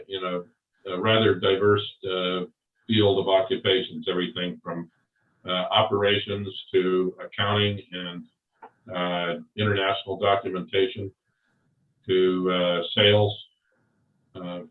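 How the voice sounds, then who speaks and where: An older man recalling his service and career in an interview, talking slowly with many pauses and fillers. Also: low-pitched. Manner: slow, halting, many fillers. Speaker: interviewee, Vietnam veteran. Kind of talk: oral history interview. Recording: far off, roomy, phone-like.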